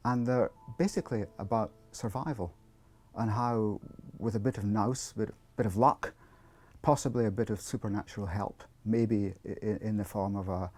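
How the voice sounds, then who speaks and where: A man speaking as an academic expert in an interview, explaining in a measured, scholarly way with hesitant phrasing. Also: lowish voice, a little mellow.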